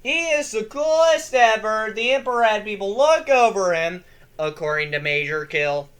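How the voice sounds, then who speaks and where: A young man hosting a lore video, reading his script in a casual, excitable way, swearing freely and breaking into yells. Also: high voice, moderate pace.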